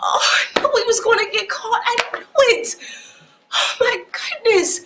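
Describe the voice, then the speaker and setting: A woman's voice: extremely high pitch, a little slow. An American woman playing a hustler's jittery girlfriend in a stage play, exclaiming in a nervous, theatrical way. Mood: panicked. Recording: echoey stage with faint noise.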